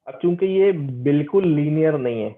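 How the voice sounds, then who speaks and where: A man's voice: on the high side, animated, normal speed. A man teaching an informal, interactive technical lecture, repeating key phrases and often checking that his students follow. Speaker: teacher giving an online lecture. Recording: tinny phone-like call audio.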